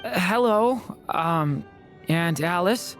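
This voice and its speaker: Shy young boy for a game: lowish, earnest, hesitant, lively inflection, music underneath. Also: takes it slowly. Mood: shy, puzzled. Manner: hesitant, soft-mannered, polite. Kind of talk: video game voice acting.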